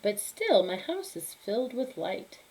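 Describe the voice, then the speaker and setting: A woman's voice: medium pitch, big pitch swings, a little slow, darkish tone, rough recording. A woman voicing a cranky, unfriendly old village woman from a storybook, curt and grumbling. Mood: puzzled. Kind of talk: storybook character voice.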